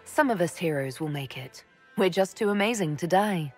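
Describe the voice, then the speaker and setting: A woman playing a composed, resolute leader in a fantasy video game, speaking with measured authority. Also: fairly low pitch, animated, darkish tone, soft background music. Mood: wry. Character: composed female Inquisitor, resolute armoured leader. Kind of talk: dramatic video game acting.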